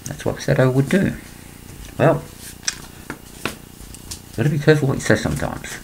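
An older man from England, speaking into a laptop webcam in a small room, rambling and clearing his throat between points. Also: medium pitch, lively intonation, moderate pace, a little mellow, slight background noise, poor audio. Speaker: vlogger. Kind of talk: rambling conspiracy monologue to webcam.

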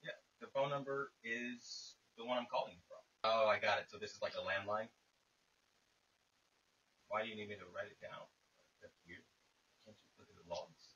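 A man's voice: fairly low pitch, fairly even intonation, moderate pace, pauses often, sharp tone. A man calling someone on the phone, arguing his case insistently in wordy, formal phrasing. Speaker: caller. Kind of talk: tense, argumentative phone conversation. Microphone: phone call. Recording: lo-fi hissy phone call recording, distant.

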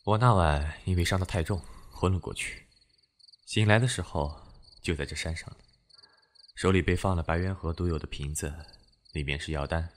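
Costume audio drama lead, male actor: aloof but devoted spirit man, low, restrained, dry delivery. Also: moderate pace, slight background noise, close to an everyday voice. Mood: guarded, serious.

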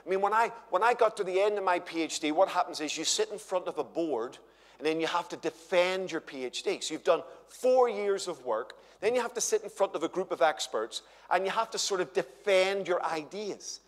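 A man's voice: high-pitched, fairly quick. A man, preaching at a church conference with a chatty, humorous storytelling manner. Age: 50s. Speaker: guest conference speaker. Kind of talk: conference sermon to a live audience. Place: large church hall with stage and audience. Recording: long hall reverb.

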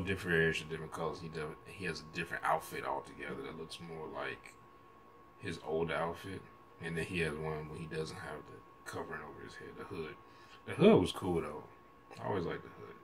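A man's voice: a bass voice, creaky, a bit bright, a little hiss or hum, rough recording. An American man in his thirties giving casual, slangy reaction commentary in a rambling, hesitant way, recorded in a room at home. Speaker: reaction video host. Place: small room at home, indoors.

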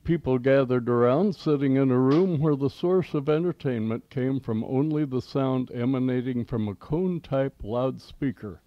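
A man's voice: mid-range voice, moderate pace, hardly any pauses, no echo, lo-fi sound. An American man in his eighties hosting old-time radio, with a folksy, theatrical announcer delivery and a nostalgic scripted style. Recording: home cassette tape with music.

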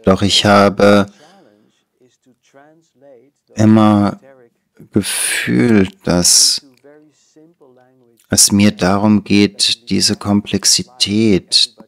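A man in his sixties from the Netherlands, speaking German with a Dutch accent as an interview guest. He tells his story in a thoughtful, unhurried way in a small studio, on a lapel mic. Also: on the low side, flat delivery, fairly bright tone. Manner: thoughtful, unhurried, gestures while talking. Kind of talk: reflective conversational storytelling.

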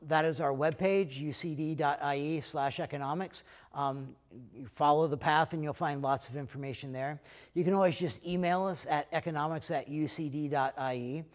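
A middle-aged American man, head of an economics school, talking casually to prospective students in a relaxed way, with many fillers. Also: on the high side. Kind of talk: recorded talk to prospective students. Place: lecture room, then plain backdrop indoors.

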